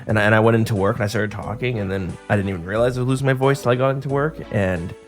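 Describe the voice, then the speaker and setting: A man hosting a podcast in casual chat, his voice a bit hoarse from getting sick, rambling with many fillers and restarts. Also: fairly low pitch, very fast, soft background music. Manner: rambling, filler-heavy, self-interrupting. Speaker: podcast host.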